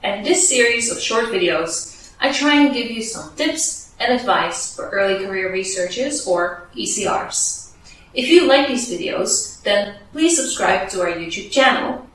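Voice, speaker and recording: A woman's voice: medium pitch, lively intonation, a little slow, a bit bright, far from the mic, slight background noise. Echoey woman giving advice. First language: Dutch. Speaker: podcast host giving advice to researchers. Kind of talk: scripted advice video. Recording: very echoey room, voice away from mic.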